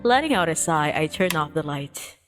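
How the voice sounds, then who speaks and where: A woman reading the part of a tired, reluctant student narrator in a visual novel, measured and mildly exasperated. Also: low voice, expressive, faint music behind, pitched below the performer's own voice. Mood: weary, exasperated.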